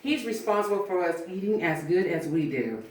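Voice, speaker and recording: A woman's voice: fairly low pitch, lively intonation, normal speed, a little mellow, lightly acted. A woman playing a friendly, chatty female barber in a cold read of a film script. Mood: relaxed, friendly. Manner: casual, plain-spoken, chatty. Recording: very echoey room, distant mic, faint noise.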